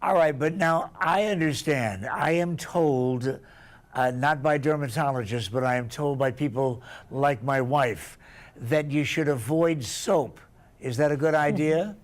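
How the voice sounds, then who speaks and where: A man's voice: on the high side, measured pace. Friendly man hosting a TV talk show. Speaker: TV show host.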